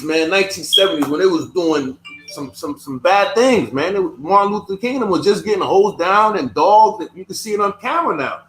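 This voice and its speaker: A man who was born in the United States, talking in a casual discussion, halting and often repeating his words. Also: high-pitched, fairly bright tone, a little hiss or hum. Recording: echoey room.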